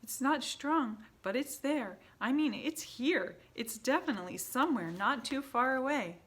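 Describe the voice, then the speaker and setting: A woman voicing a tall female witch who shrieks in alarm in a very high, loud voice. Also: expressive, unhurried.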